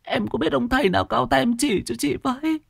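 A man's voice: very high-pitched, brisk, creaky, husky, no room sound, studio quality, voice pushed up, full character voice. A man voicing a shaken, weary shop owner woman in a horror story, stammering and pleading. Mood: terrified.

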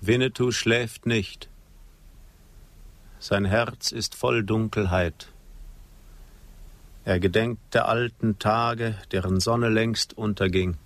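An actor playing a proud, sorrowful Apache chief in a radio drama, with solemn, poetic delivery. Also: male voice, lowish voice, fairly even intonation. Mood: mournful. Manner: solemn, poetic, measured declamation. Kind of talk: German radio drama acting.

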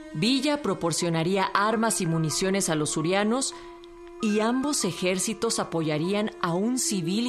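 A woman delivering scripted historical narration in a radio documentary drama. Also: medium pitch.

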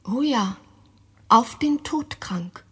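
A mysterious young noblewoman in a radio play. Her voice is high and breathy, sometimes dropping to a hush. She speaks in riddles, with haughty insistence. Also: expressive, takes it slowly, full character voice. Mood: quiet, knowing. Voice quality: breathy, hushed at times. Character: Camilla, enigmatic young noblewoman with hidden ancient past. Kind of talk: scripted German radio drama acting.

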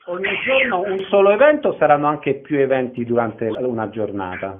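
A man's voice: a little high, animated, a little fast. A man conducting a radio interview as the host. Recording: narrow phone-like sound.

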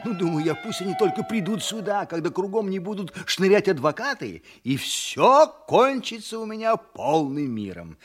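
A man's voice: high voice, big pitch swings, faint music behind. An actor playing a middle-aged, peace-loving, muddled town judge who ponders aloud as he tells his story in an audio drama. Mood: musing, placid. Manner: musing, conciliatory, drawn-out pondering.

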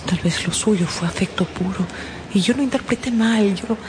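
An actress playing an anguished, devout, betrayed married gentlewoman in a radio drama. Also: medium pitch, moderate pace, noisy background, natural voice. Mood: prayerful, remorseful. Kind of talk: Spanish-language radio drama acting.

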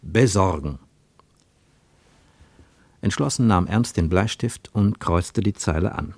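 Man as radio play narrator, low-pitched, measured literary delivery, dry close sound. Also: gravelly, darkish tone, no echo, exaggerated voice. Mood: measured, reflective. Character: measured, literary male narrator of a travel tale.

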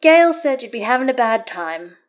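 A woman voicing a boisterous, affectionate female friend in a story, loud, cheeky and exclamatory. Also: sits high, big pitch swings, brisk, voice pushed up. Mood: fond.

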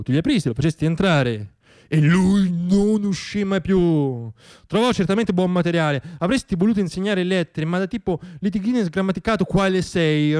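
A man delivers a theatrical storytelling monologue for radio. His voice sits a little high and is clean and resonant. The phrasing is wry and dramatic, shaped by pauses and swells. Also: a little mellow, smooth. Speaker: solo theatre performer and monologist.

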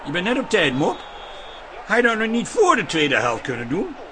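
Exasperated, high-voiced husband. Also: male voice, huge pitch swings, normal speed, background noise. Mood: pleading, placating. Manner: exasperated, sarcastic. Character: exasperated, loud husband and pub keeper who loves football. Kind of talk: radio drama acting.

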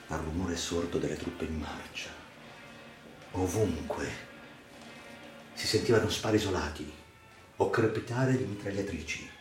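A man reads a dramatic literary narration for radio theatre in a theatrical, emphatic, measured way. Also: fairly low pitch, a little mellow. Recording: roomy echo with music underneath. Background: music.

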